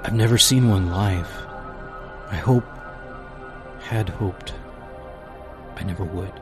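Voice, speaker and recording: A man playing an anguished astronaut recording a halting log in an audio drama, grieving and horrified. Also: low-pitched, over music. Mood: haunted. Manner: halting, repeats himself.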